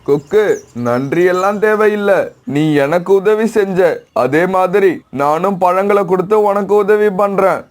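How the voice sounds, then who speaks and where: A man's voice: high voice, a little slow. A man voicing a kindly old pigeon who owns an orchard, in a children's cartoon story. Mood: grateful, kindly. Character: old male pigeon orchard owner, kindly, asking for help.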